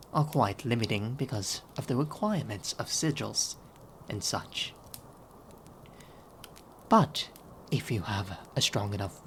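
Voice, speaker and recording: A man voicing a regal, gentle owl demon prince in an ASMR audio roleplay, speaking softly and theatrically and slipping into whispers. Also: mid-range voice, slight background noise. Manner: soft-spoken, theatrical, drifting into whispers. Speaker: voice actor in fan audio roleplay.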